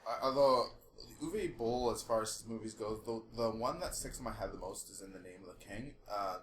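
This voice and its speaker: A man co-hosting a podcast, telling stories casually in an excitable, slangy way with some swearing. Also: lowish voice, normal speed, a little hiss or hum. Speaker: podcast co-host. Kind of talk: casual animated storytelling and banter. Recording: echoey room.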